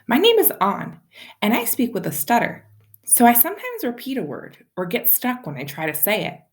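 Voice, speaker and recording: A woman's voice: on the high side, moderate pace. A woman reading a children's picture book aloud expressively, as a storyteller speaking to young listeners. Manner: animated, addresses child listeners with questions.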